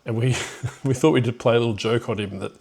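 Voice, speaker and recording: A man's voice: medium pitch, brisk, gravelly, darkish tone. A middle-aged man telling a personal story off the cuff in a reflective, hesitant way, with rueful chuckles here and there.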